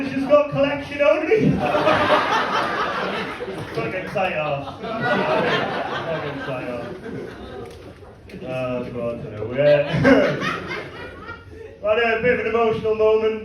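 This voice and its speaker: A man with a fairly high voice works a comedy club crowd, mixing crude deadpan punchlines with halting, stumbling asides. He sounds distant and echoey, picked up from across a reverberant room. Audience laughter and room noise sit behind him. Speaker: stand-up comedian. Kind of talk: stand-up comedy set.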